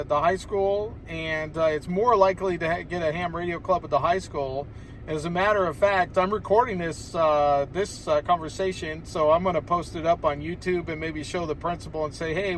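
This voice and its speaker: An American man chats casually on ham radio from inside a car. His voice sits a little high. The mic is some way off, with faint noise around him. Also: distant mic, low-quality recording. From Southern California, United States. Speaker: amateur radio operator.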